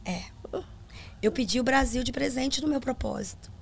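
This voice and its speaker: A woman's voice: high voice, moderate pace, creaky, slightly rough. A middle-aged woman with a Brazilian accent, a gospel singer telling stories in a casual interview and acting out the dialogue. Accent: Brazilian Portuguese. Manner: animated storyteller, acts out quoted dialogue.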